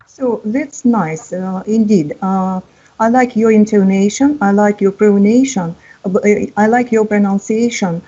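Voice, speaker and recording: Woman in her fifties, teacher, halting and encouraging, mellow husky tone over dull call audio. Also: medium pitch. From Russia. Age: 50s. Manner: hesitant, many uh fillers, encouraging. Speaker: English teacher. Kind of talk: online exam-prep lesson. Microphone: video call.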